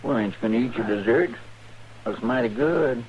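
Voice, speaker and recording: An actor playing a folksy, eager, simple frontier sidekick with a country twang in a 1950s western radio drama. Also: male voice, mid-range voice, moderate pace, darkish tone, lightly acted. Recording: dull old radio recording, faint noise.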